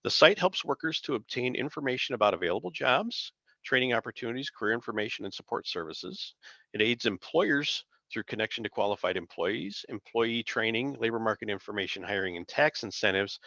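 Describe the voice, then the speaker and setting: A man giving a formal slide presentation on a conference call, reading carefully with occasional halting self-corrections, over dull lo-fi call audio. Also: lowish voice, animated, creaky, sharp tone, dry recording. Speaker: workforce agency presenter.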